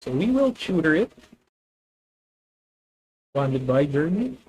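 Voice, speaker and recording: A young man with a Filipino accent plays a card game live and talks through every move as he makes it. He often stops to correct himself and sometimes turns to speak to his viewers. His voice sits fairly high for a man. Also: sing-song, slow pace, a little mellow, slightly rough, rough recording. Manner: thinking aloud, self-correcting, addresses viewers. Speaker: streamer. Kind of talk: live gameplay commentary.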